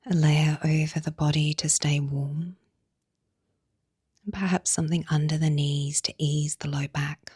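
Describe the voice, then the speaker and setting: A woman guiding a yoga nidra meditation, speaking softly and soothingly in a breathy voice with long pauses between phrases. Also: deep voice, flat delivery, dry recording, clean studio sound. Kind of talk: guided meditation narration.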